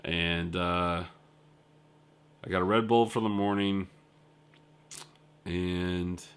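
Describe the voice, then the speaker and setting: A man's voice: low-pitched, fairly even intonation, takes it slowly, clean tone. A young man telling a rambling, casual story about his trip in a video diary, full of fillers and asides. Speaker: indie game developer.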